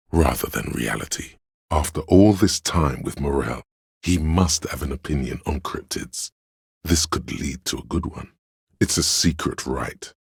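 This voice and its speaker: A gravelly, very deep, grandiose inner voice. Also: male voice, no echo, clean studio sound, heavily acted. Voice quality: gravelly, husky bass. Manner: dramatic, florid, deliberate. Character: grandiose, artsy inner voice of a detective's imagination. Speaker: video game narrator. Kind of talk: theatrical, literary game narration.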